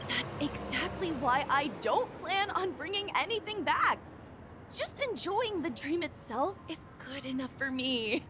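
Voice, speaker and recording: A woman playing a pleasure-loving, polite resort guest in a video game, with a gushing, expressive delivery. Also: high voice, unhurried, noisy background. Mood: defensive, wistful. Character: pleasure-loving, well-mannered female dream resort guest. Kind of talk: scripted game voice acting.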